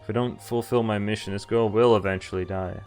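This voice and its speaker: A man's voice: on the low side, fairly even intonation, brisk, a little mellow, faint music behind. A man streaming a visual novel, thinking aloud about choices and reading the story text in first person. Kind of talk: live game commentary and reading text aloud.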